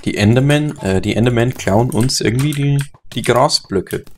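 A man's voice: medium pitch, moderate pace, a little hiss or hum. A man chatting casually while gaming, swearing and laughing easily at his mishaps. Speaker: Let's Play host. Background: faint game music and keyboard typing.

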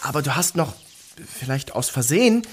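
A man's voice: a little high, very animated, normal speed, slight background noise. An actor playing a wry, hesitant teenage boy bandmate in a German audio drama. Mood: awkward.

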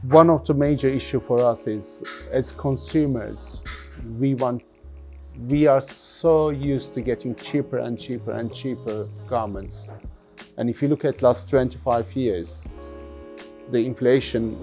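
A middle-aged man from London giving thoughtful interview answers as a business founder, haltingly and often repeating words. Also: mid-range voice, warm tone, soft background music. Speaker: jeans factory founder being interviewed.

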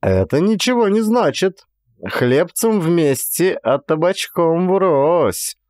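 A man voicing a gruff, stubborn, talkative Cossack inspector in a dramatized audiobook, telling things in emphatic colloquial speech. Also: a little high, sing-song, takes it slowly, a little mellow, no echo, clean studio sound, pitched above the performer's own voice. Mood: stubborn, wily. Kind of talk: dramatized audiobook character dialogue.

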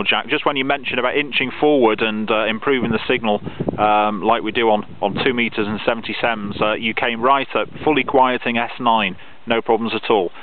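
Male radio hobbyist, procedural contact chat, tinny band-limited audio, slight noise. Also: mid-range voice. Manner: procedural, spells out call signs phonetically. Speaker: amateur radio operator. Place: outdoors on open grassy hilltop. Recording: narrow phone-like sound with radio noise.

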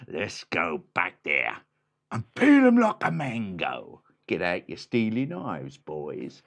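A man voicing a bossy, blustering male bandit leader who barks orders and drops to a gruff hush when scheming. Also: low-pitched, huge pitch swings, slow, exaggerated voice.

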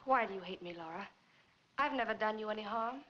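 An American actress playing a poised, defensive young wife in a 1940s film noir. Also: female voice, medium pitch, fast talker, slight background noise, close to an everyday voice. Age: young adult. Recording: dull 1940s film soundtrack with music.

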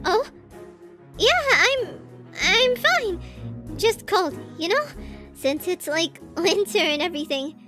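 A woman voicing a shy, flustered teenage girl with a crush in an anime roleplay audio drama, stammering, hesitant and excitable. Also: very high-pitched, slow, pauses often, over music, heavily acted.

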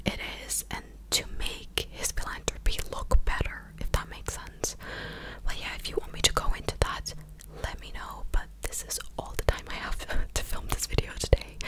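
A young adult woman hosting an ASMR video, talking casually in a soft whisper close to the microphone. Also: low-quality recording. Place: small living room, indoors. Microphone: large desktop microphone, very close.

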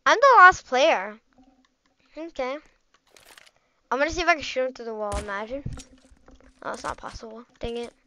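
A woman giving casual, slangy running commentary while playing a video game, reacting out loud as things happen. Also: sits high, lively intonation, moderate pace, long pauses, no echo. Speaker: gameplay streamer.

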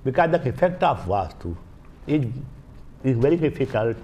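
An older man with an Indian accent explains as an interview guest in a studio, haltingly, searching for words and repeating phrases. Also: medium pitch, big pitch swings, warm tone, a little hiss or hum. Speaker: guest, Vastu healer. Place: small indoor TV studio. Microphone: lapel mic.

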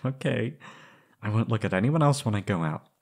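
A young man playing an anguished, lovesick former captive in an intimate roleplay audio, halting and pleading. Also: lowish voice, animated, vocal fry, darkish tone. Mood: relieved, tender. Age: young adult.

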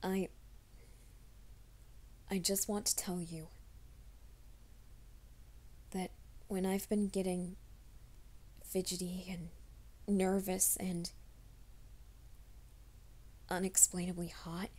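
A woman voicing a shy, flustered young male werewolf in an ASMR roleplay, speaking in a soft half-whisper with a hesitant, stammering delivery. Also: lowish voice, level tone, slow pace, pauses often. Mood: flustered pleading. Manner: hesitant, stammering, self-conscious.

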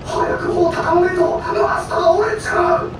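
An actor playing a gruff male sailor who shouts rough orders during a storm at sea. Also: very high voice, steady tone, normal speed, very echoey, recorded from a distance, music in the background, poor audio. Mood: urgent shouting. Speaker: ship's sailor.

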